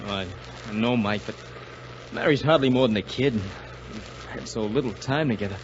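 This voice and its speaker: An actor playing an anxious, devoted young soldier husband, earnest and hesitant in 1940s radio style. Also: male voice, mid-range voice, fairly quick, lightly acted. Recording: muffled, noisy 1940s radio recording.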